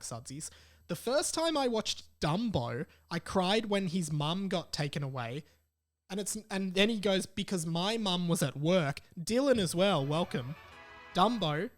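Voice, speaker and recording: A young man with an Australian accent and a fairly high-pitched voice hosts a podcast on his own. He talks in a casual, chatty way, moving between reading messages aloud and adding his own informal comments. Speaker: podcast host.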